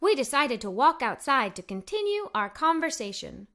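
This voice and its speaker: A woman narrates a children's storybook in the first person as a young girl. Her voice is high and bright, and it swings widely in pitch. She sounds expressive and full of wonder. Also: moderate pace.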